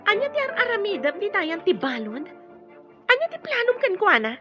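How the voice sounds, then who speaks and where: A woman's voice: very high-pitched, wildly swooping pitch, moderate pace, highs cut off, music in the background, exaggerated voice. An actress playing a domineering, suspicious woman with a scolding, commanding manner in an audio drama. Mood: bossy, scolding.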